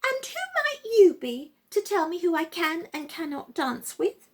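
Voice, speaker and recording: Woman as a high, excitable ballerina doll. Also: unhurried, heavily acted. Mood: mocking scorn. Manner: haughty, highly excitable. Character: vain, scornful, flighty young female ballerina doll.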